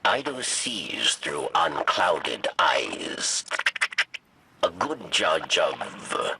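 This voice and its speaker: An actor playing an old, weary, sly insect-like alien scribe in a video game, rasping and halting, with questioning tags. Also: male voice, rumbling low, very animated, noisy background, exaggerated voice. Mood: solemn regret. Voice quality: gravelly, rasping. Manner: halting, third-person speech, questioning "yes?" tags. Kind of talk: dramatic video game acting.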